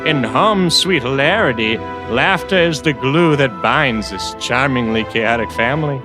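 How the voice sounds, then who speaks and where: A man with a mid-pitched voice delivers a scripted comedy promo as announcer. Music runs clearly underneath. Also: expressive, unhurried. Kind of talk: scripted sitcom promo read.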